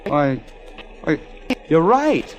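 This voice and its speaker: Plucky corporal sidekick, fairly high male voice, folksy, tough, old-time radio drama. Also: sing-song, drawn-out words, soft background music, full character voice. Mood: helpful, dry. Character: plucky, muscular young army corporal sidekick.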